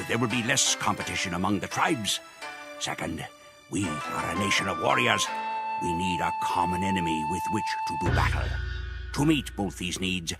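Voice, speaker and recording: Grim Mongol warrior chronicler: lowish, gravelly, solemn measured game narration. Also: male voice. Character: grim male Mongol warrior recounting the campaign. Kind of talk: scripted dramatic narration. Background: music.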